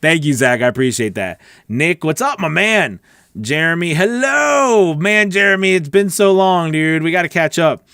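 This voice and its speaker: Smooth, close-miked middle-aged man chatting casually. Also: fairly high pitch, lively intonation, normal speed, bright, forceful tone, no room sound. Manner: informal and friendly, chatty, rambling. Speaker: host, voice-over coach. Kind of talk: casual livestream chat, answering viewer comments. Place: small home recording studio. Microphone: close broadcast mic on boom arm.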